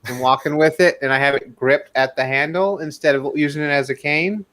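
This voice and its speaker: A man shares a casual anecdote on a group livestream. His voice is a little high for a man, and he speaks haltingly, pausing often as he goes. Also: steady tone, fairly bright tone. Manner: halting, hesitant delivery with many pauses. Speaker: panelist on a livestream discussion.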